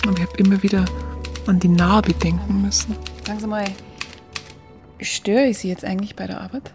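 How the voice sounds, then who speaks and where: A woman's voice: medium pitch, steady tone, moderate pace, a little mellow, soft background music, lightly acted. An actress playing a curious, smitten young woman photographer in a radio play, chatty and colloquial with a Bavarian accent. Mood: curious, shy. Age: young adult. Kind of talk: radio play narration and dialogue.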